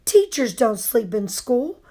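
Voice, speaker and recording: A nosy young schoolboy, acted by a woman talks in a high, slow voice. He is doubtful and daring, a conspiratorial storybook kid. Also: sing-song, mellow, heavily acted. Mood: challenging. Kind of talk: children's storybook read-aloud.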